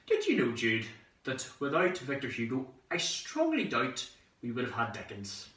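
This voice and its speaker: A man's voice: mid-range voice, huge pitch swings, moderate pace, sharp tone. A man voicing a pompous father who lectures his son in a grand, declamatory manner in a stage monologue. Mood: lofty, nostalgic. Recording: roomy echo, distant microphone.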